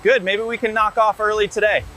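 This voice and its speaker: A man's voice: sits high, level tone, a little fast, natural voice. A man playing a businesslike factory inspector in scripted workplace dialogue, recorded with noisy ambience. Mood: matter-of-fact. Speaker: factory worker. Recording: noisy machinery or vehicle ambience.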